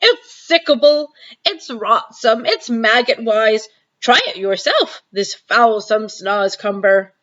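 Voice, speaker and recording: A woman's voice: on the high side, a bit bright. Woman doing a kindly old giant, word-muddled. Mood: disgusted. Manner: jumbled grammar, made-up words, drawn-out delivery. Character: huge kindly old male giant, gentle, muddled with words. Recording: dull lo-fi sound.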